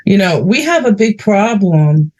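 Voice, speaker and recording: A man's voice: high-pitched, very animated, a little slow, a little mellow, muffled recording. A man from New York with a New York accent, interviewing a guest in a chatty, folksy, heartfelt way. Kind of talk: casual interview chat.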